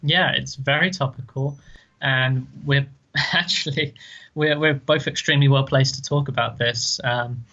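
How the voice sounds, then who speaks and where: Flat, bright-toned man from London. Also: medium pitch, unhurried. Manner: chatty, repeats words. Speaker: podcast co-host. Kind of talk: casual chat. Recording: dull lo-fi sound.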